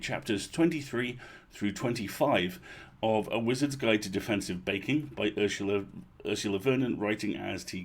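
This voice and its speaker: A man hosting a livestream with casual, chatty introductions and playful, animated asides. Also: lowish voice. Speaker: livestream host.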